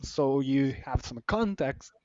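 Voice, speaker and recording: A man, earnest and halting, often restarting his sentences. His voice sits at an ordinary pitch and sounds slightly dull and lo-fi. The room is dry, with no echo. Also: animated, mellow. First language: Spanish. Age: 30s. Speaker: team member sharing his story. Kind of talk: personal story on a live stream.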